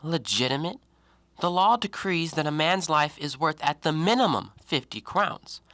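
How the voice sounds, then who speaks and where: Actor as scornful, lively-voiced educated man. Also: a little high, no room sound. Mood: wary, reasoning. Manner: precise, deliberate, argumentative diction. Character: educated, coolly logical man, scornful and self-righteous. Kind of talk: audio play acting.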